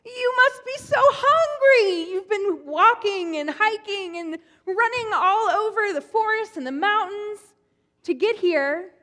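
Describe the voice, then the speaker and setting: A fussy old patriarch, as a woman puts him on, fusses over his guest with doting insistence and Yiddish exclamations. The voice sits up high and soars and swoops. It echoes through a hall with a long reverb. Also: lively intonation, takes it slowly, fairly bright tone, heavily acted.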